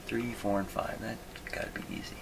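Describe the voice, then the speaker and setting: A man hosting a hands-on repair demonstration, thinking aloud in a halting, muttering way as he works. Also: on the low side, level tone, gravelly, background noise. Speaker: host of a radio repair video.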